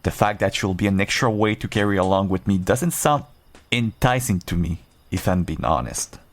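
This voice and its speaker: A man playing a seasoned werewolf adventurer, gruff but kindly and wry, talking straight to the listener in an audio roleplay. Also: fairly low pitch, moderate pace, natural voice. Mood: incredulous, teasing. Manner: reassuring, wry, bantering. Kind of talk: audio roleplay, one-sided dialogue addressed to listener.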